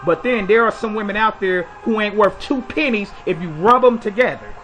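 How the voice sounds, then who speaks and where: High, bright-voiced man lecturing bluntly. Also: a little fast, dull audio, slight background noise. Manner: preachy, blunt, lecturing with rhetorical questions. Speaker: commentary video host. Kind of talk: opinionated commentary monologue.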